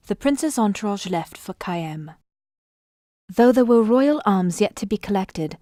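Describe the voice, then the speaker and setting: A woman's voice: mid-range voice, darkish tone, no echo, studio quality, close to an everyday voice. A woman playing a composed storyteller, giving scripted narration for a fantasy audio drama.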